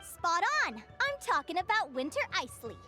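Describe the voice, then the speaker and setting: A woman's voice: very high-pitched, very animated, moderate pace, faint music behind, exaggerated voice. A woman voicing a cheerful young naturalist in a video game, lecturing to children in an encouraging way. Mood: upbeat, teacherly. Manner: bright, encouraging, teacherly.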